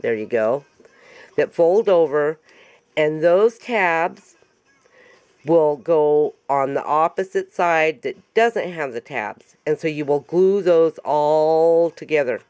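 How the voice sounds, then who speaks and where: Chatty raspy-voiced woman crafter. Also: low voice, lively intonation, slow pace, darkish tone, lo-fi sound. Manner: chatty, joking, laughs often. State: recovering from illness. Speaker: craft tutorial host. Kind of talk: casual chat while demonstrating a craft. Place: home craft room.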